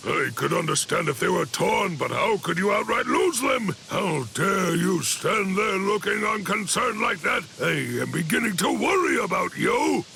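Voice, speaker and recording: An actor playing a stern, fierce old head captain in an anime game, gravelly and imperious, speaking with grave, measured authority. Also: male voice, high voice, sing-song, bright, forceful tone, a little hiss or hum, full character voice, low-quality recording. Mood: outraged scolding. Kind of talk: dramatic anime game dub acting.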